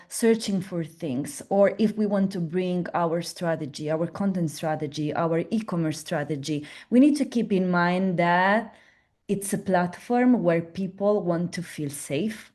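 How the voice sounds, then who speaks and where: A woman's voice: on the low side, mellow. Italian-accented woman presenting a webinar. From Italy. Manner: chatty, restarts often, tag questions like "no?". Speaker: platform representative presenting at a webinar.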